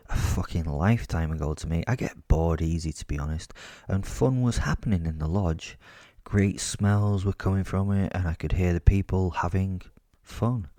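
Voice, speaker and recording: Man acting as male werewolf narrator, low-pitched, dark soft tone, wry, sweary, easily bored, audio drama. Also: no room sound, clean studio sound. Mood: bored, grumbling. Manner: conversational, wry, casually sweary. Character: bored, cheeky, foul-mouthed male werewolf recounting his hunt.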